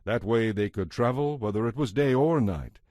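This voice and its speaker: A man narrating scripture as a dramatized reading, in a measured, declarative manner. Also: mid-range voice, mellow, dry recording, clean studio sound. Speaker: Bible narrator.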